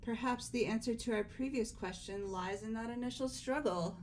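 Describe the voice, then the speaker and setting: A woman's voice: on the high side, normal speed, darkish tone, natural voice. A woman voicing a poised, inquisitive student in a fan-dubbed mystery game. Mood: probing. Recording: faint background noise.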